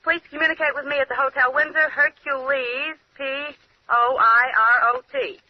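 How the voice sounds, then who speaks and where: A woman's voice: sits high, fairly even intonation, normal speed, lightly acted. An actress playing a woman in a mystery drama, in old-time radio acting style. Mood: flippant, bored. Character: women in a radio mystery: flip clerk, troubled lady. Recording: muffled, noisy old radio broadcast.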